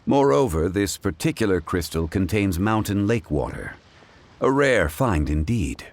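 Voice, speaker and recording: A man voicing a composed, erudite gentleman consultant in a video game, formal, measured and quietly assured. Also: fairly low pitch, sing-song. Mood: assured, scholarly. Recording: music behind the voice.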